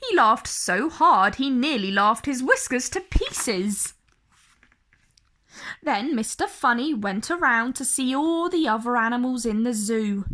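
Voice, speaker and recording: A woman tells a children's story in a fairly high voice. Her pitch rises and falls a great deal. She takes it slowly, stressing words dramatically for young listeners. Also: expressive, bright, forceful tone. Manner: addresses young listeners directly, dramatic emphasis and drawn-out words. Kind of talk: expressive picture-book read-aloud for kids.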